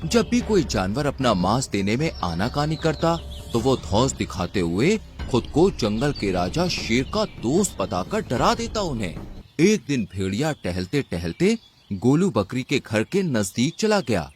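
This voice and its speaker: Animated male storybook narrator. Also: mid-range voice, a little slow, faint music behind. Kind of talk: scripted children's story narration.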